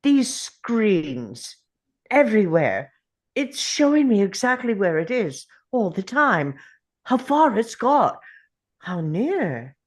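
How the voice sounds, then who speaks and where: Woman acting anxious brooding time traveler thinking out loud, slow, really animated pitch. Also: full character voice. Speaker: actor at a table read. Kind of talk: dramatic script read-through.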